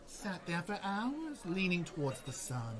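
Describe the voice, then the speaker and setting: A woman's voice: lowish voice, big pitch swings, measured pace. A woman playing a scatterbrained, anxious gnome sorcerer in a comedy radio play, flighty and hesitant. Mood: wavering, musing.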